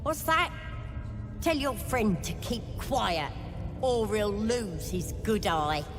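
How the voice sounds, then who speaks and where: A zealous goblin priestess for a video game, speaks slowly in long reverb over music. She is coarse and bossy, coaxing with folksy slang like a cult leader sure of her power. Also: medium pitch, animated. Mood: sly, commanding. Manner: coarse, colloquial, wheedling and bossy. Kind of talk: video game character acting.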